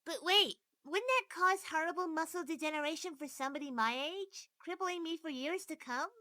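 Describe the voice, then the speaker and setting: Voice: extremely high pitch, moderate pace, sharp tone, dry recording, clean studio sound. A performer voicing a wordy, anxious little boy with a whiny, pedantic manner in an anime parody dub. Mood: nervous protest.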